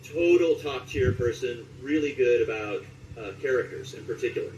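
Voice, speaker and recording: Interview guest, male, late forties, mid-pitched conversational storyteller, recorded with noticeable background noise. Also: normal speed, a little mellow, lo-fi sound. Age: late 40s. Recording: roomy echo, distant mic, clear background noise.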